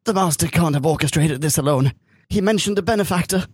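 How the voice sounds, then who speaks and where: A man voicing a gentle, wounded, dying romantic man in a soft, breathy, raised voice, read as a comic story. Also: fairly high pitch, quick pace, voice pushed up. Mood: wry.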